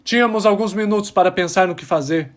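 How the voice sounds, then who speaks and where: An actor playing an embittered alien rebel miner, testifying with impassioned, dramatic pauses in an audio drama. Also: male voice, high voice, close to an everyday voice. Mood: grave, urgent. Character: embittered male alien rebel miner testifying about his oppressed people.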